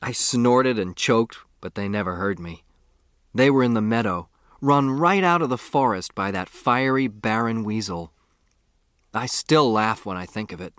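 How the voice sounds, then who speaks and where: An American man reading a first-person novel as an audiobook narrator, with expressive, boyish storytelling. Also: lowish voice, no echo, clean studio sound.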